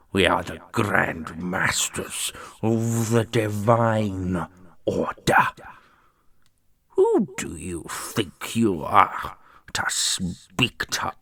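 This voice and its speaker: A man voicing a stern, haughty grand master of a holy order in a fantasy audio roleplay, speaking in a deliberate, imperious way. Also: low voice, creaky, pushed and bright, full character voice. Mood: cold, offended.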